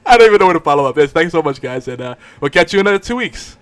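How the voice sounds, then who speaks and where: A man's voice: fairly high pitch, expressive, very fast, no room sound. A middle-aged man hosting a podcast, chatting casually with a joking, bantering manner. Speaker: podcast host. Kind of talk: casual chat.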